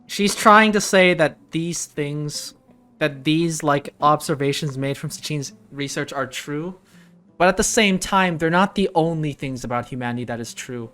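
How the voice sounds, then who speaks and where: A woman's voice: low voice, moderate pace, a bit bright. A woman giving casual live commentary as she reacts to a video game. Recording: game music behind voice. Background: game music.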